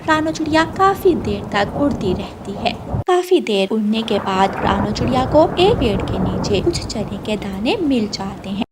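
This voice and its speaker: A woman's voice: mid-range voice, heavy background noise. A woman storyteller giving scripted narration for a children's cartoon. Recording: loud rain and thunder behind.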